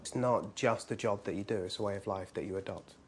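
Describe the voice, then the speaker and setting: A man in his forties from England speaks in a low, settled register. He explains his practice in a relaxed interview manner with light humor. There is a faint hum of room sound around him. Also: brisk. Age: 40s. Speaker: practitioner of Chinese medicine. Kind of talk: casual interview talk. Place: his clinic, indoors.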